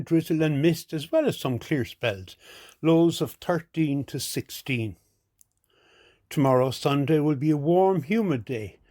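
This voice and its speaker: A man's voice: fairly high pitch, a little mellow, dry recording, clean studio sound. An Irish man reading a scripted weather forecast for community radio.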